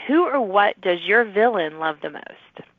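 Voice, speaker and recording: A woman's voice: mid-range voice, lively intonation, measured pace, dry recording. A woman hosting a casual, chatty podcast interview with authors in an enthusiastic, encouraging manner. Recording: thin phone-like sound.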